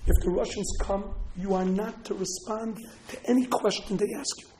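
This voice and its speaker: A man voicing a wry, quick-witted old-world villager from a told religious tale, deadpan and plain-spoken. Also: high voice, slight background noise, natural voice. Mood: instructing, wry. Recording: echoey room.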